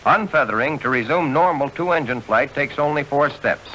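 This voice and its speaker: An American man giving measured, scripted instructional narration in the manner of a 1940s training film. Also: mid-range voice, lively intonation, sharp tone, poor audio. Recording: hissy old 1940s film soundtrack.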